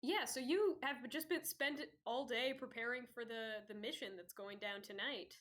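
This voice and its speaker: A woman's voice: a little high, moderate pace, a bit bright. A woman running a tabletop role-playing game, talking casually and rambling with lots of fillers and easy laughter. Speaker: game master.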